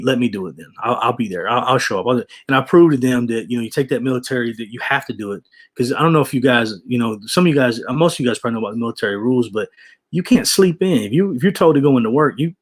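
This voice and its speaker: A man in his forties chatting casually with viewers, rambling, repeating himself and dropping "man" and "you know". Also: medium pitch. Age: 40s. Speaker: vlogger reading viewer comments. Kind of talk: casual livestream chat.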